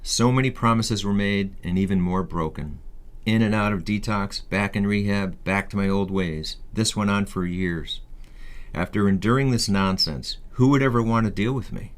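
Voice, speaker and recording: A man with a low-pitched voice narrates a personal memoir. He reads from a prepared script in the first person, as an audiobook narrator would. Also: moderate pace.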